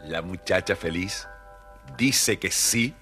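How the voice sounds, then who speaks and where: A man with a husky voice, expressively narrating a scripted children's story. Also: low-pitched, slow, pushed and bright, faint music behind.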